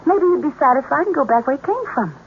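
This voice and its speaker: High-voiced plaintive young sweetheart. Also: female voice, sing-song, fast. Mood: plaintive, coaxing. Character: plaintive, scheming young woman, reluctant sweetheart. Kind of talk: 1950s radio drama acting. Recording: tinny, noisy vintage radio recording.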